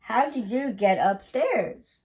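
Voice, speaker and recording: A woman's voice: a little high, expressive, takes it slowly, a little mellow. A woman voicing a puzzled male night watchman in a children's story, exclaiming and questioning. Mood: surprised.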